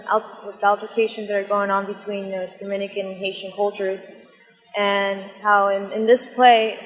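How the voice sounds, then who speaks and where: A woman's voice: medium pitch, big-room sound, rough recording. A woman answering casually as an interview guest from a stage cast, leaning often on "you know" and "like".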